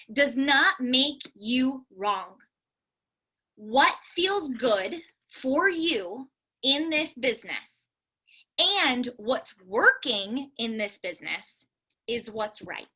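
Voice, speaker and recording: A woman's voice: sits high, sharp tone. A woman giving a motivational coaching talk to her team, speaking earnestly and emphatically and repeating phrases for stress. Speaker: business coach leading a team call.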